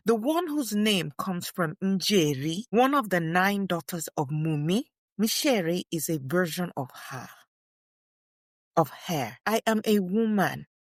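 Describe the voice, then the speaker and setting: A woman playing a dignified, proud elderly woman writer and scholar, delivering a deliberate first-person monologue with emphatic repetition. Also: medium pitch, dry recording, studio quality, natural voice. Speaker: co-host in character. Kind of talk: first-person dramatic monologue, read aloud.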